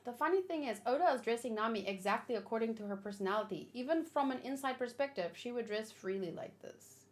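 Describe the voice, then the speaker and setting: A woman's voice: a little high, lively intonation, fast talker, few pauses. A woman giving informal, opinionated commentary as she hosts a casual live read-along and talks with chat. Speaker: manga read-along host.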